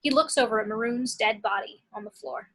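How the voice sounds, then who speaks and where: A woman's voice: fairly high pitch, a little fast, fairly bright tone, husky, poor audio. A woman reading screenplay action and scene headings as scripted narration.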